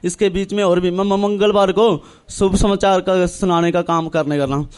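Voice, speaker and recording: A young man from northern India tells his religious testimony earnestly, in halting, repetitive phrases, acting out remembered dialogue. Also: sits high, fairly even intonation, a little mellow, clear, resonant tone. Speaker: church member giving testimony. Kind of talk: personal religious testimony, storytelling.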